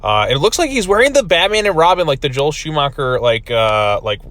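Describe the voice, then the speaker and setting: A man's voice: a little high, big pitch swings, a little fast, a bit bright. A man giving a casual video review of a comic book, rambling with many fillers, his voice still recovering from being lost.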